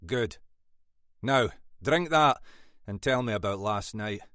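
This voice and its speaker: A man's voice: fairly low pitch, animated, slow pace, a bit bright, dry recording, clean studio sound. A man voicing a blunt, scolding older Scottish detective boss in an audiobook. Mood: stern, commanding. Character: gruff older Scottish male detective boss, stern but fatherly. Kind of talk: audiobook character dialogue.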